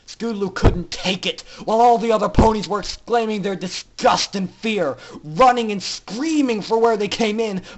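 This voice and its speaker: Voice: medium pitch, slight background noise. A narrator with an androgynous-sounding voice giving an intense, theatrical dramatic reading of a story. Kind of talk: dramatic reading of fan fiction.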